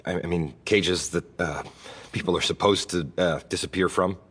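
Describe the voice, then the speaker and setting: A man playing a persistent police detective in an audiobook drama, husky and mock-casual, feeling his way through his questions. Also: sits low, steady tone, normal speed, a bit bright, in a large hall. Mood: casually probing.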